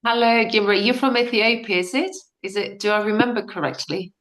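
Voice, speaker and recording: A woman leading an online workshop over a video call, halting and full of fillers as she talks. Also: mid-range voice, a bit bright. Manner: halting, with frequent fillers and restarts. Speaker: workshop speaker.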